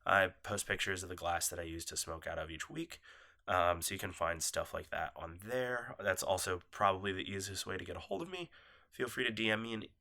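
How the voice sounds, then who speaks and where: Podcast host, man, congested and nasal, loose apologetic chat, bright edge to the tone. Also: low voice, a little fast. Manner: rambling, apologetic, loose and laid-back. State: nasal from a cold, coughing. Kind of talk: casual solo podcast chat. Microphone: phone set down nearby.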